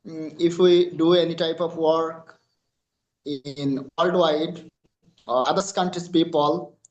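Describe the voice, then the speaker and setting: Slow-talking Bangladeshi man, echoey room. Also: on the high side, fairly even intonation, lo-fi sound. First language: Bengali. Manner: halting non-native English with many fillers. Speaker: freelancer guest. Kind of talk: interview answers.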